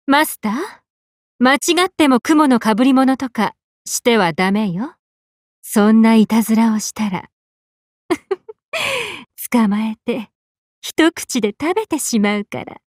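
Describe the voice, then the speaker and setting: A woman's voice: fairly high pitch, a little slow, pauses often, no echo, clean studio sound. A woman voicing a tall, affectionate big-sisterly sun goddess in an anime game, swinging between bubbly and tender. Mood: fond, teasing. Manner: swings between excited exclamations and gentle, tender speech. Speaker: game character. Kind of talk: Japanese anime game voice acting.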